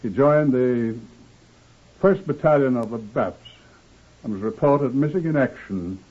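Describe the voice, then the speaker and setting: A man's voice: medium pitch, measured pace, natural voice. A man playing a grave, formal narrator of true-crime cases in scripted old-time radio style. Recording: tinny old radio recording.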